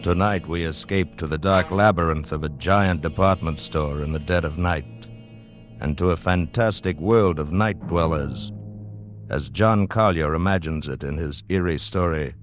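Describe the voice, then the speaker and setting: An actor playing an ominous announcer of a radio adventure anthology, with dramatic, suspenseful delivery in a 1940s style. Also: male voice, low voice, normal speed, lightly acted. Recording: vintage radio broadcast with music.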